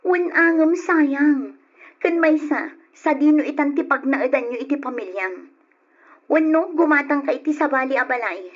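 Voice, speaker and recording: A woman's voice: high-pitched, normal speed, natural voice. A woman with an Ilocano accent playing a worried younger sister who pleads with her brother, in an old radio drama. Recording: dull lo-fi old radio recording.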